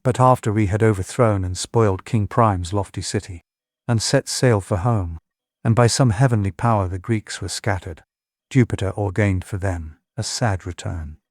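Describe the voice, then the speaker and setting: A man's voice: fairly low pitch, moderate pace, no room sound, clean studio sound, close to an everyday voice. An actor playing a grave, long-winded old warrior king, recounting war in stately oratory for an epic audiobook drama.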